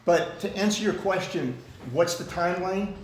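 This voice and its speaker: Loud-voiced man chairing a meeting. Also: sits high, normal speed, roomy sound, a little hiss or hum, poor audio. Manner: loud, plain-spoken. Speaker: club president chairing a meeting. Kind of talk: community meeting discussion.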